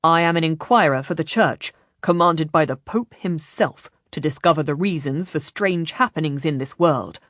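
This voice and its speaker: Female narrator as earnest young church investigator, male, firm, formal and challenging; slightly low, dry sound. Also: no room sound.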